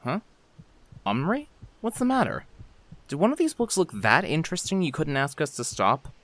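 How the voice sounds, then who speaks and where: Man as worried male cleric, fantasy audio drama, blunt questioner, very animated pitch, dry sound. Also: normal speed, no room sound. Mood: puzzled, demanding.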